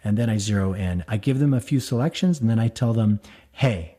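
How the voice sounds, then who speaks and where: A priest hosting a call-in radio program, talking in a friendly, chatty way. His voice sits low and sounds mellow and dark. He fills his speech with small hesitations and easy laughs. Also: a little fast. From United States. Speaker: radio show host, priest.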